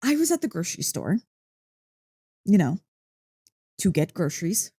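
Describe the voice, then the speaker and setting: A woman playing an excitable, playful dog-girl girlfriend in an ASMR roleplay, gushing and teasing with mock indignation. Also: fairly low pitch, normal speed, breathy edge, no echo, clean studio sound. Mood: playfully indignant. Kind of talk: ASMR roleplay, one-sided conversation.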